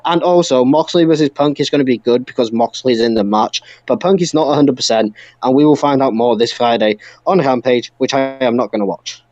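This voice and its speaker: A man's voice: mid-range voice, fairly quick, a little mellow, highs cut off. A man co-hosting a wrestling podcast, talking in an emphatic, opinionated, ranting way. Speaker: podcast co-host.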